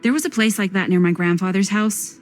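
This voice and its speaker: An actress playing a dry, matter-of-fact young friend in a video game drama, speaking casually. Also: female voice, medium pitch, brisk, soft background music, lightly acted.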